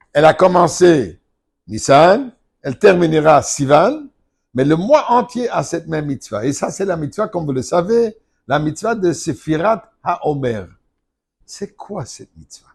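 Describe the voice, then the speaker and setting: An older man, a rabbi, giving a Torah lesson, emphatic and at times forceful. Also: on the high side, creaky, slightly rough. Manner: emphatic, rising to forceful outbursts.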